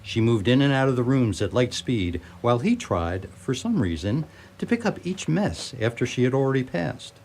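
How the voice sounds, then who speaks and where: Animated male radio play narrator. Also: mid-range voice, moderate pace, a little hiss or hum. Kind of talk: scripted radio theatre storytelling.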